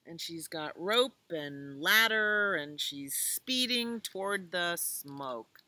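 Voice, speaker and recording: Bright-voiced older American woman, unhurried storyteller. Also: lowish voice, expressive, slight background noise. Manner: simple, explanatory, asking questions of young listeners. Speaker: children's librarian reading storytime. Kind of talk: reading a picture book aloud to young children, with chatty asides. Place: outdoors in a leafy garden.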